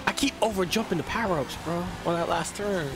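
A woman in her twenties playing a kart racing game with friends, chatty and cheering loudly, greeting viewers in chat. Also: on the low side, fairly quick. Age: 20s. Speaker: co-player. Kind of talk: casual gaming chat. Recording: game music behind.

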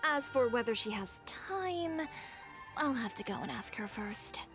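A woman's voice: a little high, animated, unhurried, fairly bright tone. A woman voicing a composed young fashion designer in a game, speaking matter-of-factly. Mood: calm. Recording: game audio with clear music.

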